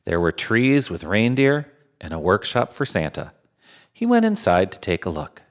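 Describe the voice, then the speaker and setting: A man reading a children's storybook aloud as a jolly storyteller, with unhurried, dramatic phrasing. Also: on the low side, wildly swooping pitch. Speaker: storyteller in Santa persona.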